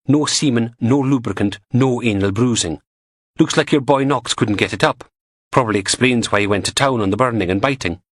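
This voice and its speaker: A man's voice: medium pitch, fairly quick, no room sound, studio quality, voice pushed up, natural voice. A man voicing a cheeky, teasing young goth woman who works in forensics. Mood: blunt.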